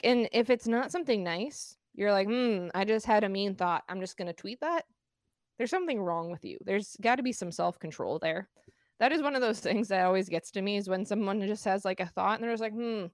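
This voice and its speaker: Chatty woman with a smooth voice. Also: mid-range voice, no echo, clean studio sound. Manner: chatty, many fillers and restarts. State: asthmatic cough at times. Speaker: podcast co-host. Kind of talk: casual chat.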